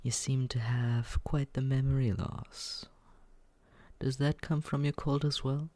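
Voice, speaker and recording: A young man voicing a smug young man who teases and quietly looks after the listener, in a close, soft, whispered roleplay. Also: medium pitch, steady tone, unhurried, no room sound, close to an everyday voice. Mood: teasing. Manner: teasing, condescending, soft whispering. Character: smug teasing young man, mockingly caring. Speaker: ASMR roleplay performer.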